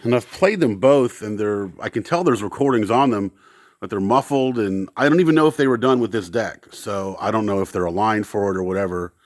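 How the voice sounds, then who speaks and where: Man, lowish pitch, dry sound, casual demo talk, unscripted, halting and rambling. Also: dry recording. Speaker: presenter testing vintage audio gear.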